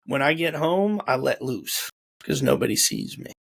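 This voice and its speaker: A young man with a gravelly, creaky voice leads a relaxed interview in a loose, conversational way. He often restarts and repeats words while he works out his questions. His pitch sits in the middle of the male range. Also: moderate pace. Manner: informal, repeats words as he thinks. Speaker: host. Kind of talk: casual interview chat. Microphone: video call or home camera.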